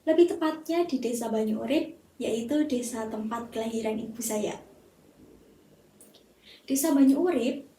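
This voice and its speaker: A young woman from Central Java, a university student reading a prepared self-introduction for a class assignment in measured, explanatory sentences. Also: on the high side, mellow. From Temanggung, Central Java, Indonesia. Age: young adult. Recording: roomy echo, distant mic, faint hiss.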